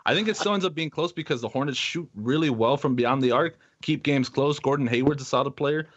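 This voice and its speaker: A man's voice: mid-range voice, a little fast. Casual man on dull lo-fi audio. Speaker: sports podcast co-host. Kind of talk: casual sports betting chat.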